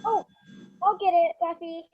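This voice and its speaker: A woman's voice: extremely high pitch, steady tone, normal speed, muffled recording, noisy background. A woman playing an anxious, stubborn and inquisitive little girl in an old-time radio Christmas drama. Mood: insistent, curious.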